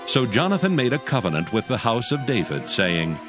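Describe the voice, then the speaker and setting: A man's voice: mid-range voice, very animated, fairly quick. A man narrating a dramatized Bible story as a scripted reading in archaic English. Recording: background music under the voice.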